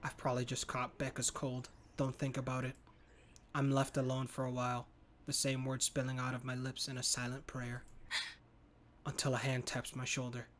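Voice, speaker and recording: A man's voice: medium pitch, completely flat delivery, normal speed, fairly bright tone, slight background noise. Man narrating a visual novel aloud. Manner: earnest, muttering inner monologue with slips. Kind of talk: first-person story narration.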